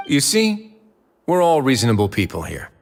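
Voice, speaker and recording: A suave, menacing warden. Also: male voice, medium pitch, huge pitch swings, normal speed, soft background music. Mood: stern. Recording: game audio with long reverb.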